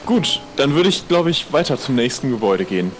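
Hesitant man with lively intonation. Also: mid-range voice, a little fast, soft background music, low-quality recording. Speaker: player in an improvised pirate audio drama. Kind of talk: casual improvised role-play dialogue.

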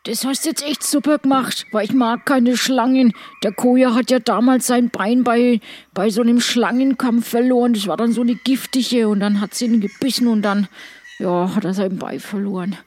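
A woman's voice: on the high side, fairly even intonation, moderate pace, darkish tone. A woman playing a role-playing game, chatting casually and improvising in character.

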